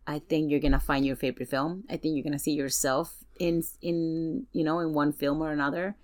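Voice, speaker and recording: A woman's voice: deep voice, level tone, a little fast. A woman chatting informally as a podcast guest, with loose, slangy phrasing. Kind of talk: casual chat.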